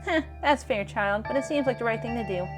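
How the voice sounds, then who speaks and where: A woman performs a kindly older spiritualist lady from a small-town mystery game. Her voice is high, genteel and folksy. She is motherly and chatty, and soft music plays underneath. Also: brisk, natural voice, poor audio. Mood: gently puzzled. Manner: genteel, folksy, fond endearments.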